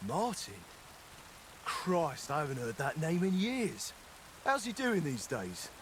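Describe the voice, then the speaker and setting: An actor playing a guarded former forge apprentice in a video game. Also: male voice, fairly high pitch, sing-song, slow, fairly bright tone, slight background noise, exaggerated voice, rough recording. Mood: surprised. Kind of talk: video game dialogue acting.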